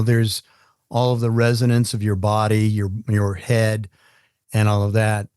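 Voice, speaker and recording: A man's voice: medium pitch, darkish tone, dry recording. Upbeat man hosting a podcast, dry studio sound. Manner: upbeat, chatty, spells out names slowly. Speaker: podcast host. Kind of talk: casual podcast interview. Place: recording studio.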